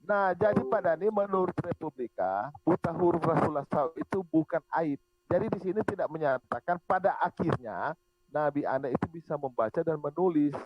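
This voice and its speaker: A man's voice: on the high side, brisk, a little mellow, dry recording. A man hosting an online religious debate, pressing the others for answers. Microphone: online group call. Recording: muffled lo-fi online call audio.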